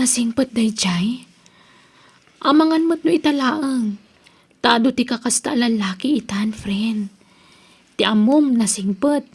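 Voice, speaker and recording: A skeptical young best friend with an Ilocano accent acted by a woman in an audio drama. Her voice sits very high with a breathy edge, and she questions and cautions with concern. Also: darkish tone. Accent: Filipino, Ilocano.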